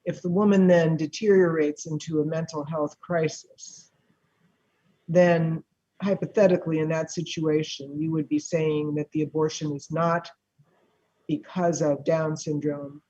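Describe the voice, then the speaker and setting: Husky judge questioning over a remote call. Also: medium pitch, flat delivery, unhurried, warm tone, lo-fi sound. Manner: curious, conversational questioning. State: losing voice. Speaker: presiding appellate judge.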